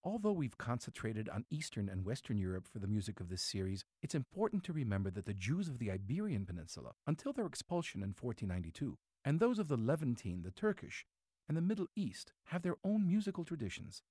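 A man's voice: medium pitch, big pitch swings, quick pace, mellow, husky, dry recording, studio quality. A man from Greece, a cantor, hosting a radio program about music with scripted narration.

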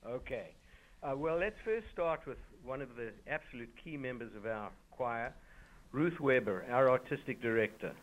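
A man's voice: medium pitch, animated, moderate pace, poor audio. A man, a choir member who is a guest on a radio interview, introducing his colleagues in a friendly, orderly way.

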